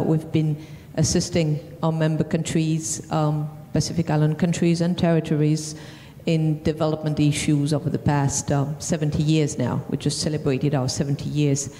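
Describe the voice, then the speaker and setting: Woman panelist, french accent, lowish mellow voice, long hall reverb. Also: flat delivery. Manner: measured, with frequent ums and restarts. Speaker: panelist from a regional organization. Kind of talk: conference panel answer. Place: large conference hall. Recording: distant hall reverb, faint noise.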